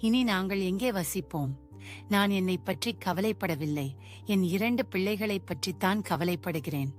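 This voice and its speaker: A woman's voice: lowish voice, moderate pace, lightly acted. A woman voicing an anxious poor village mother who frets and laments. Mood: distressed. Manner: fretful, lamenting. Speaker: voice actor. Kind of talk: dubbed cartoon acting. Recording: music bed behind.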